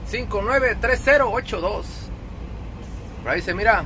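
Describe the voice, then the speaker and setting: A Mexican man with a high-pitched voice talks in a casual vlog style. He is chummy and slangy, like a trucker addressing his pals. Clear wind and engine noise sits behind his words. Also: normal speed, pushed and bright. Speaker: truck driver and vlogger. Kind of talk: casual vlog narration to camera.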